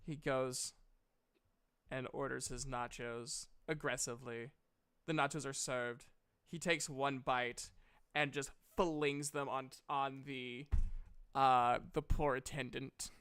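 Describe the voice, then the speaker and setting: A man running a tabletop game as game master, narrating deliberately and haltingly and chatting with players. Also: mid-range voice, fairly even intonation, fairly bright tone, clear, resonant tone.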